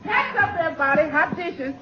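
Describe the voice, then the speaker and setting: A woman's voice: high voice, animated, a little fast. A woman playing a sassy, good-humored household cook, teasing and exclaiming in 1940s radio comedy style. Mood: bustling. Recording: muffled, noisy 1940s radio recording.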